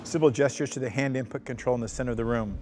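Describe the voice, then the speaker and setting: A man's voice: medium pitch, fairly even intonation, rapid-fire, darkish tone, soft background music. A man reading screenplay directions aloud as narrator at a table read.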